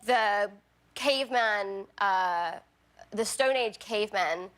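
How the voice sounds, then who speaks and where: A woman's voice: mid-range voice, slow pace, a bit bright. A young woman from England with a Bristol accent, chatting as a guest on a talk show, giggly and full of fillers. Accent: English, Bristol. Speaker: guest actress.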